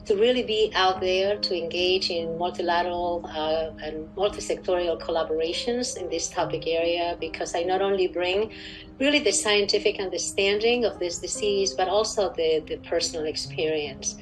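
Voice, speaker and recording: A woman's voice: fairly low pitch, fairly even intonation, moderate pace, rough recording. Spanish-accented woman in her sixties over music. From Spain. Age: 60s. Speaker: interview guest, clinical research director. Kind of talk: formal interview answers.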